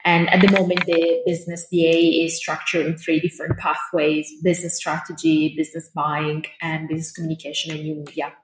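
A woman with an Italian accent speaking as a guest in a podcast interview, chatty and enthusiastic, with frequent filler pauses. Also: low-pitched, flat delivery. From Italy. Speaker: guest, fashion business lecturer. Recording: roomy with faint noise.

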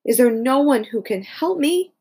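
Casting: homesick storybook girl, done by a woman, raised pitch, swooping intonation, earnest and emphatic. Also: lively intonation, normal speed, darkish tone, husky, full character voice.

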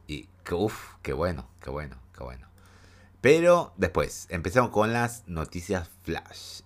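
A man hosting a casual gaming news podcast, chatty and hesitant, with a head cold and a stuffy nose. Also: very deep voice. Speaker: podcast host.

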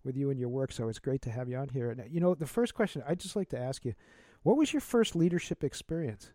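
A man's voice: mid-range voice, expressive, fast talker, mellow, no echo, studio quality. A middle-aged man hosting an interview, enthusiastic and chatty with many fillers. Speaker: podcast host.

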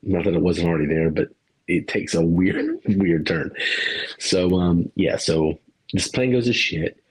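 A man's voice: low-pitched, measured pace, husky, low-quality recording. A man co-hosting a podcast, rambling through a movie review in casual chat, laughing and swearing now and then. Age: adult parent. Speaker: podcast co-host.

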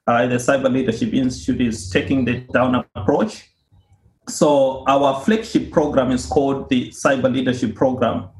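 A man from Zimbabwe, a podcast guest, giving earnest, measured answers with frequent small pauses. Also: mid-range voice, reverberant, poor audio. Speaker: guest, cybersecurity author and institute co-founder.

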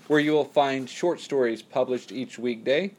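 Male host, average pitch, promotional announcement. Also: moderate pace, darkish tone. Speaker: podcast host.

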